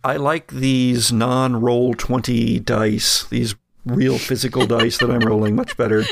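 A man working as game master, narrating a tabletop horror game in a deliberate storyteller's way mixed with casual chat. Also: mid-range voice, little pitch movement, creaky.

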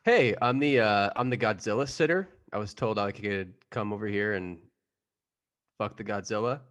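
A man doing casual, halting improvised comedy banter on a podcast. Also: fairly low pitch, a bit bright.

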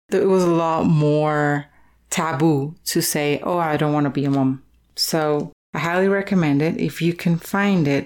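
Female podcast host, low register, conversational delivery with an informal, explanatory approach to recommending things. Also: a little mellow. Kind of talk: conversational book recommendation talk.

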